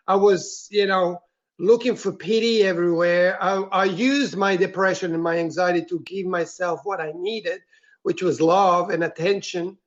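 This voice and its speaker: A man from Switzerland speaks as an interview guest, his voice pitched high. He tells his personal story earnestly and reflectively, in a soft-spoken manner. The recording sounds dull and lo-fi.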